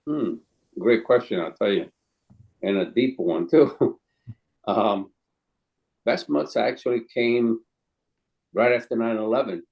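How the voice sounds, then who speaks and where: A man in his sixties from Calabria, Italy, speaking English with an Italian accent as an interview guest, telling his story in a hesitant, rambling way with many pauses and some non-native phrasing. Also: mid-range voice, vocal fry, muffled recording. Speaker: guest, chef and caterer.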